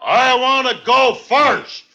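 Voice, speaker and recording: Hesitant sailor sidekick, extremely slow, bright voice, old radio drama with hiss. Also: male voice, extremely high pitch, lo-fi sound, exaggerated voice. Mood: hesitant, pliant. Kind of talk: 1950s radio drama acting. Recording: noisy old 1950s radio recording.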